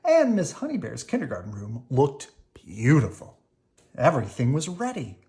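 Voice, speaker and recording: A woman reading a children's storybook aloud in an animated, unhurried way for young listeners. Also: rumbling low. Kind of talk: expressive read-aloud storytelling.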